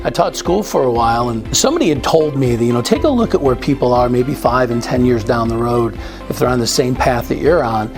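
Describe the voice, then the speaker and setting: A man, an insurance agent and former teacher, telling his story in a relaxed, conversational way. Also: mid-range voice, brisk. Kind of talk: testimonial. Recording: background music.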